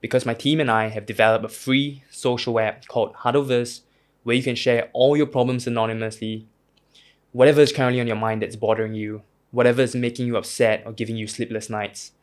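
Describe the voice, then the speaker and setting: A man's voice: medium pitch, flat delivery, fairly quick. A man reading a scripted advertisement in a personal, sincere tone. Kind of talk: scripted ad read.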